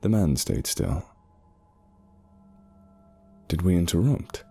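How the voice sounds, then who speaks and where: A man reading a first-person horror story as a scripted narration, in a measured, suspenseful manner. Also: sits low, lively intonation, creaky, mellow, slightly rough. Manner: measured, suspenseful, short pauses. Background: eerie background music.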